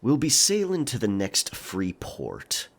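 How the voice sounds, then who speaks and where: An actor playing a stern pirate quartermaster in a historical romance audio drama, brusque, controlled and threatening, yet reasonable. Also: male voice, mid-range voice, wildly swooping pitch, slow, heavily acted. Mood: matter-of-fact.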